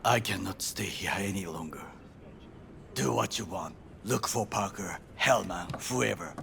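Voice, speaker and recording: An actor playing a grave, proud middle-aged Japanese ex-bodyguard in a video game, speaking formal, clipped English with a Japanese accent. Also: male voice, sits low, a little slow, creaky, a bit bright, full character voice. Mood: stern, guarded. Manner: formal, clipped, stern phrasing. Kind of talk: video game dialogue acting.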